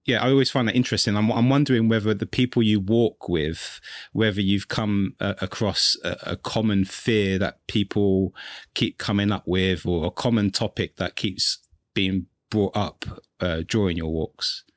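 A man's voice: lowish voice, fairly even intonation, moderate pace, no echo. A man co-hosting a podcast, talking casually in a reflective, rambling way toward his questions. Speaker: podcast co-host. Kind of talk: casual conversational interview.

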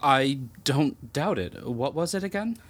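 An actor playing a patient, mild-mannered young priest in an audio drama, hesitant and politely skeptical. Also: male voice, on the high side, expressive, unhurried, a bit bright. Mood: bemused. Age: young adult. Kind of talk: naturalistic audio drama acting.

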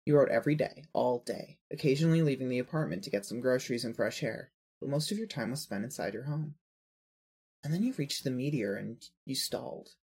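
A man's voice: mid-range voice, lively intonation, fairly quick, a little mellow, slightly rough. A man narrating a scripted audio story, reading prose addressed in the second person.

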